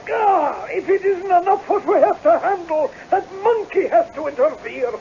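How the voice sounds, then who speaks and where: A man's voice: extremely high pitch, expressive, normal speed, creaky, darkish tone, full character voice. An actor playing an anxious male servant to a laird in a radio drama. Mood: flustered, bossy. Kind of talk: old-time radio drama acting. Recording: muffled, noisy old radio recording.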